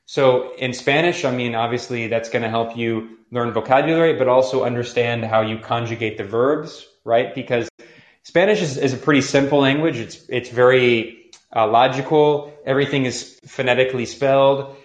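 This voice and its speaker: A man's voice: mid-range voice, steady tone, moderate pace. A young man hosting a call-in podcast, talking casually and thinking aloud with many fillers and restarts. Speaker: podcast host taking calls. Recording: roomy echo.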